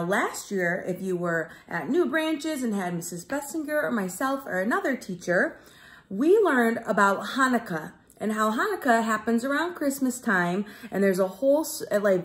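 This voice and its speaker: Expressive woman reading a children's storybook, unhurried. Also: on the high side. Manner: expressive, simple explanations for young children. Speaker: teacher reading a storybook aloud. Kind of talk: children's read-aloud storytelling.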